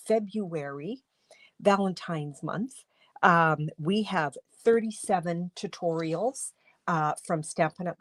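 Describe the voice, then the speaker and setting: A woman from Canada hosting a casual craft livestream from her home studio, congested with a cold, chatty and rambling, often clearing her throat. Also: fairly low pitch, no echo. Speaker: livestream host and stamping demonstrator.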